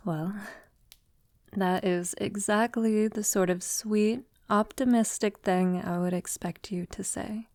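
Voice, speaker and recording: A woman playing a centuries-old vampire lover in an intimate audio roleplay, tender, wistful and confiding, softly spoken with lapses into whispering. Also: medium pitch, dry recording, studio quality. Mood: gently amused. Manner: soft, unhurried, breathy lapses into whispering.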